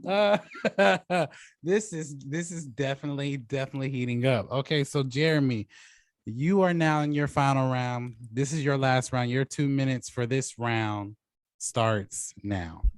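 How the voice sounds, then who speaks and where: Man, debate host, mid-pitched, halting delivery, chuckly, casual judging, very dry sound. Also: dry recording. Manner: halting, repeats words, chuckles often. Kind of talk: casual moderating and commentary.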